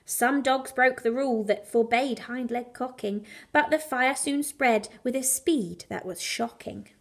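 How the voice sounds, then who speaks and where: A woman reading a children's bedtime story aloud in an animated, expressive way with dramatic emphasis on the rhymes. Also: fairly high pitch, measured pace, gravelly. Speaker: primary school teacher reading a bedtime story.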